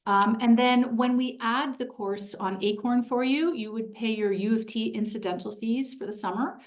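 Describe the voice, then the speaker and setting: A woman's voice: fairly high pitch, moderate pace. Woman hosting an info session.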